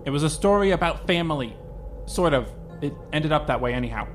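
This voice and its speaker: A man acts out a nervous young man in a fan audio drama who rambles and doubts himself, breaking off and restarting. His voice sits fairly high, with soft music underneath. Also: lively intonation, close to an everyday voice. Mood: reflective. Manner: halting, rambling, self-questioning. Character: anxious young man facing his identity.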